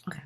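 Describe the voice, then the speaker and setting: A woman in her twenties with a raspy voice, casually telling a story on her vlog while doing her makeup, rambling and full of fillers. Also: bright, forceful tone, slight background noise. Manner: rambling, filler-heavy and nervous. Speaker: vlogger.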